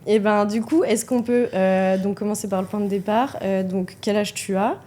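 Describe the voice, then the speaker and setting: Warm-voiced woman podcast host. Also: medium pitch, fairly even intonation, moderate pace. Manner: hesitant, many fillers, frequent laughter. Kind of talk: casual interview chat.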